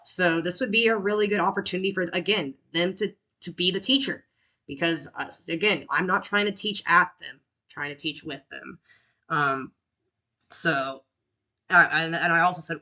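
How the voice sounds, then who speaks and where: A woman teacher with a deep voice talks casually through a classroom lesson plan, with many fillers and restarts. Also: moderate pace, pushed and bright, muffled recording.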